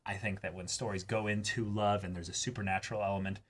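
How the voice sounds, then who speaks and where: Bright-voiced man chatting as a show co-host. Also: lowish voice, level tone, quick pace. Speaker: co-host of an anime reaction show. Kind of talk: casual discussion and commentary.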